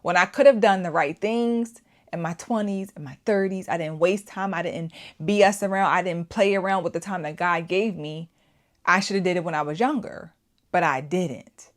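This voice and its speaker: A woman hosting a casual opinion vlog, talking emphatically and repeating her points with rhetorical questions. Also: on the low side. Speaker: YouTube channel host. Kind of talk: casual opinion commentary to camera.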